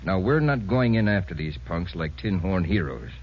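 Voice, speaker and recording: An actor playing a commanding police captain giving a measured, methodical briefing, in 1950s radio drama style, on a dull lo-fi old radio broadcast. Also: male voice, low-pitched, animated. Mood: grim.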